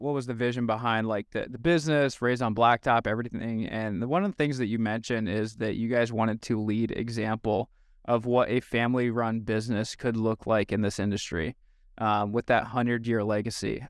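A man's voice: fairly low pitch, clean tone, dry recording, clean studio sound. A man hosting a podcast in a casual, upbeat way, with fillers and restarts as he introduces his guest. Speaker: podcast host.

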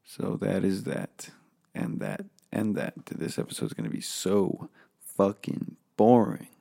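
A man hosting a casual solo podcast from his home office, rambling and swearing freely, with a hoarse voice. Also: low-pitched, sing-song, takes it slowly, mellow. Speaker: podcast host.

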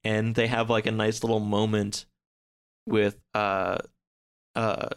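A man in a casual podcast chat, recapping a story in a halting, rambling way full of filler words. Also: fairly low pitch, little pitch movement, smooth. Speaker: podcast guest.

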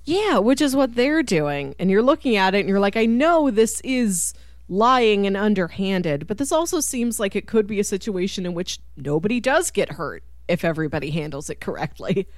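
Lively woman chatting casually about film. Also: mid-range voice, moderate pace, no echo, studio quality. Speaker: podcast co-host. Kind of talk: casual film discussion chat.

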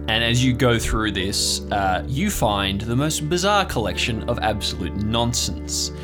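A man narrating an improvised tabletop story as game master, describing scenes in a conversational way. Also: on the low side.

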